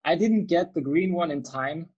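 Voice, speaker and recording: A man from Austria hosts a relaxed podcast conversation. His voice is a bit husky and his manner is agreeable and easy. He often answers with short, friendly replies. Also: a little high, moderate pace, highs cut off. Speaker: podcast host. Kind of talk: casual chat.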